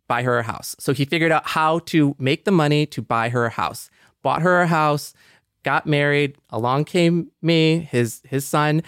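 A man's voice: medium pitch, a bit bright, clean tone, no echo, clean studio sound. A man co-hosting a podcast in a personal, conversational way, reflective and given to storytelling. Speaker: podcast co-host.

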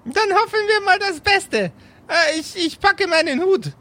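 A man's voice: extremely high pitch, lively intonation, unhurried, sharp tone, exaggerated voice. A man voicing a prim, stammering, smug magician rival in an improvised tabletop audio play. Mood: smug, plaintive. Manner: stammering, hesitant.

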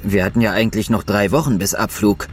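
An actor playing a worried astronaut on a Mars crew in an audio drama. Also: male voice, on the low side, quick pace, slightly rough, soft background music. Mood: alarmed.

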